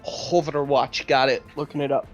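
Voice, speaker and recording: A man's voice: mid-range voice, level tone, normal speed, lo-fi sound. A man playing a tabletop game, casually discussing the rules, with background music.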